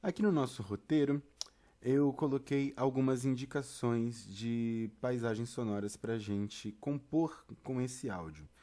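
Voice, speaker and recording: A man's voice: mid-range voice, measured pace, warm tone. A man with a Brazilian accent presents a step-by-step tutorial in an informal, chatty way, speaking directly to the listener. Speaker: theatre and audio tutorial presenter.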